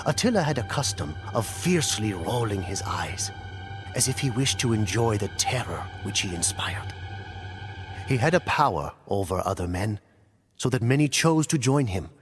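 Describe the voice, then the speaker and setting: Male storyteller, lower register, measured and solemn, game cutscene narration over a clear music bed. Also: very animated. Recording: music playing under the voice. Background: clear background music.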